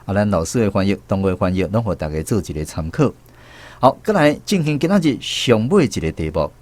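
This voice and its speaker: A man with a Taiwanese accent hosting a radio language lesson in a measured, explanatory way. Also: on the low side, big pitch swings, a little mellow, dry recording. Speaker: radio show host.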